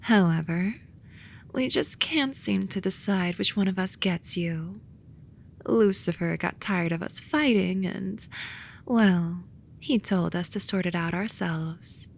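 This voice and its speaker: A woman voicing a soothing but snarky sloth demon in a romantic ASMR roleplay, soft and coaxing with a dry edge. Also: on the low side, slow pace, creaky, a little hiss or hum. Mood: sarcastic, explaining. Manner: soft, coaxing, dryly sarcastic, sometimes whispery.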